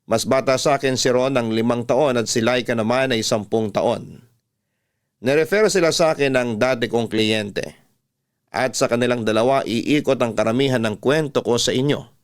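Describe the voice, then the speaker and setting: A man's voice: mid-range voice, moderate pace. A man with a Filipino accent reading a scripted first-person story as a dramatic radio storyteller.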